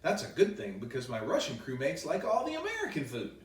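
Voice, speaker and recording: Animated man reading aloud, echoey and distant. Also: on the high side, brisk. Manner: playful, expressive, with chatty asides. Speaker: children's author reading his own book. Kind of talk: animated bedtime story read-aloud. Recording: roomy echo, distant microphone.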